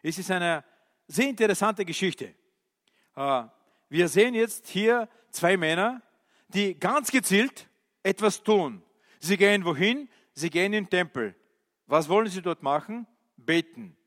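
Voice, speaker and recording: A male preacher, a non-native German speaker, giving an animated sermon with many questions to his listeners and hesitant fillers. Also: sits high, unhurried. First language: not German. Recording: reverberant hall.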